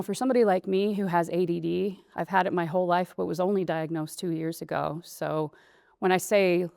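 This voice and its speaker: Gravelly woman in her forties. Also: on the low side, darkish tone, no echo, clean studio sound. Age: 40s. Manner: candid, meandering, frank. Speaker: panel guest.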